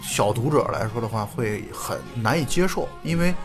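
A man, a podcast co-host, chatting casually and thoughtfully, with animated, reflective storytelling. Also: medium pitch, normal speed, over music. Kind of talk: casual chat.

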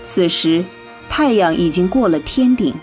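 A woman reading scripted narration for a radio drama in an expressive storytelling manner. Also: fairly low pitch, unhurried, soft background music.

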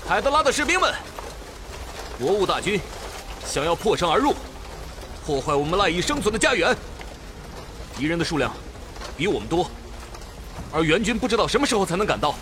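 A heroic young knight for a radio drama, resolute and protective. His voice is high for a man and swings widely in earnest, declamatory lines, over noisy battle ambience. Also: sing-song, fairly quick, pauses often. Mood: rousing, determined. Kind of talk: Chinese radio drama acting. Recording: battle ambience and noise behind.

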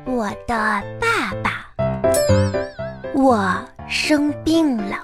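A woman's voice: animated, very slow. A woman playing a cheeky, whiny little schoolgirl with innocent earnestness in a radio comedy sketch. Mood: innocent, earnest. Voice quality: high childish voice. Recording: music behind the voice.

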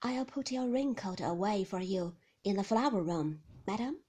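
A woman voicing a formal, attentive male butler, polite and deferential, in a soft breathy voice. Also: medium pitch, animated, moderate pace. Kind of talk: whispered audiobook reading.

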